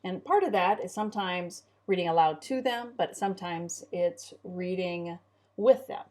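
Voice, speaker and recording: A middle-aged woman talks as a co-host about parenting. Her voice sits a little low for a woman, and her delivery is plain and conversational. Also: animated, moderate pace, darkish tone.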